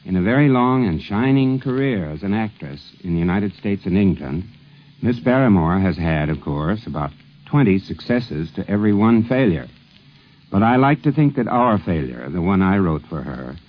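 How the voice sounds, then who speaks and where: Low-voiced man, formal 1950s radio narrator. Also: lively intonation. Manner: formal, measured, literary phrasing. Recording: dull, muffled 1950s radio recording.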